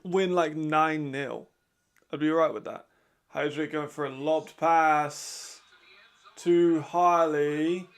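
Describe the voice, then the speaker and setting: A man hosting a watch-along, giving casual live commentary with a raspy voice, chatty and laughing often. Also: fairly high pitch, fairly even intonation, takes it slowly. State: hay fever.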